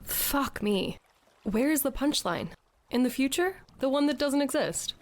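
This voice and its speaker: A woman's voice: sits high, expressive, unhurried. An actress playing a sardonic, lovelorn woman aboard a tall ship in an audio drama, sarcastic and given to dramatics. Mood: sardonic, exasperated.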